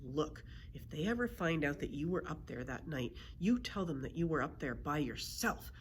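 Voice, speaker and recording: A woman's voice: deep voice, lively intonation, normal speed, a little hiss or hum. A woman voicing a cocky, hot-tempered bully of a boy in a storybook, curt and blustering. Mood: menacing.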